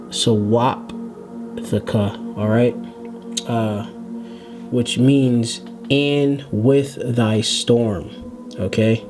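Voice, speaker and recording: A man giving an informal scripture lesson, explaining word by word in a halting, deliberate way and often checking in as he goes. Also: mid-range voice. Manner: halting, deliberate, frequently checking in with "all right". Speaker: religious Hebrew language teacher.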